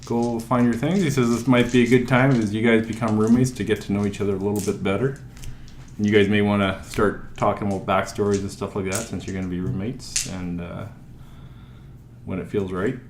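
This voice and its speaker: A man narrating as dungeon master, describing scenes in a relaxed way with many fillers. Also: on the low side, fairly quick, reverberant, a little hiss or hum. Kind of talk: narrating a tabletop game.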